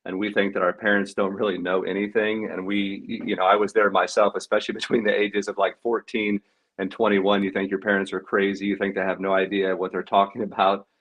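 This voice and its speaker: A man's voice: fairly low pitch, level tone, a little fast, a bit bright, poor audio. A man of about forty on a video call panel, a life coach sharing advice in a reflective, loose way with many fillers. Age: 40s. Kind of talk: casual panel chat.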